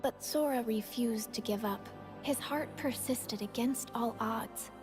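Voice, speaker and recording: High-voiced earnest young heroine, acted by a woman, music behind. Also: a little slow, natural voice, low-quality recording. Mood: solemn, earnest. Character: earnest young heroine recounting her friends' battles. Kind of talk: scripted story recap narration.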